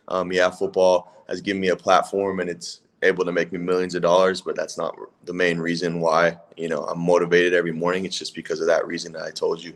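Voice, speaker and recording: A man in his twenties from California, a college football player chatting in a relaxed, easygoing way as a guest. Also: sits low. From California, USA. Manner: relaxed, laid-back, hedging with "if that makes sense". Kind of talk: casual interview chat.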